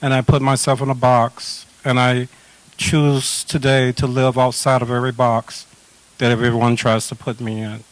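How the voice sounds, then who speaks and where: Measured man speaking firmly on recovery. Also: medium pitch, flat delivery, rough recording. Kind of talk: firm personal testimony.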